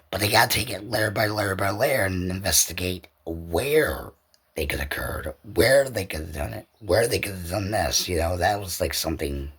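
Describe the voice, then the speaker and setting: Deep, husky male podcaster, swinging intonation. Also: a bit bright. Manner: loose and repetitive, circling back over points. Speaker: podcast host. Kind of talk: rambling casual solo commentary.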